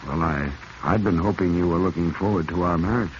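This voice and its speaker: An actor playing a polite, earnest young archaeologist and devoted suitor in a 1940s radio drama. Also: male voice, deep voice, brisk, close to an everyday voice. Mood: tender, pleading. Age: young adult. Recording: muffled, hissy vintage radio recording.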